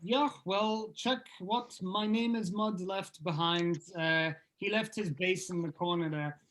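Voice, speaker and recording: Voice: mid-range voice, fairly even intonation, measured pace, a bit bright, natural voice. A performer playing a foul-mouthed, jaded male rock band member at an informal script table read, blunt and sardonic. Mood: dry. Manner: blunt, sweary. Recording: clear background noise.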